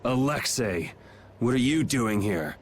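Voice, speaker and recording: A man's voice: mid-range voice, lively intonation, slow pace, slight background noise. An actor playing a casual, cool-headed young swordsman in a game, with a wry, laid-back manner. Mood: defiant. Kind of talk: English game dub acting.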